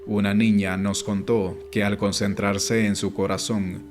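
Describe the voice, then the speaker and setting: A man reading an audiobook as scripted narration, in a measured, instructive way. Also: lowish voice, little pitch movement. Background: background music.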